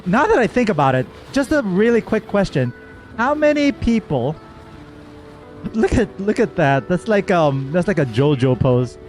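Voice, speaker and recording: A man hosting a game stream, chatting casually and playfully, given to excited exclamations and rambling asides. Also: high-pitched, normal speed. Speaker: streamer and host. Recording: music playing behind the voice. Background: game music.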